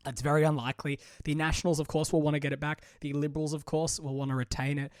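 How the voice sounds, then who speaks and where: A man's voice: a little high, little pitch movement, quick pace, no echo, clean studio sound. A young man hosting a solo politics podcast, chatty and full of restarts, with a cold that makes him sound a bit different. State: has a cold. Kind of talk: casual solo commentary.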